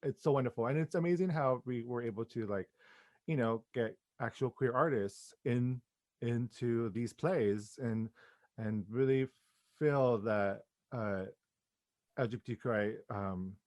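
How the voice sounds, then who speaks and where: A man's voice: medium pitch, unhurried, a little mellow, no room sound. A man chatting casually and effusively in an interview as a playwright and director, with informal slangy phrasing.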